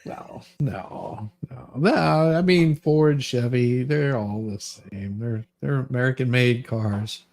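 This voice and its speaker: A man's voice: mid-range voice, takes it slowly, hardly any pauses, a little mellow, no room sound. A man with a sardonic manner, bantering casually and reading letters aloud as a host.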